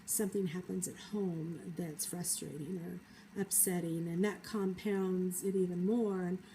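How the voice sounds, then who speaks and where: A woman with a cold, talking through her feelings in a thoughtful, hesitant personal vlog monologue with many pauses. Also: lowish voice, steady tone, dark tone, a little hiss or hum, low-quality recording. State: has a cold. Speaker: vlogger.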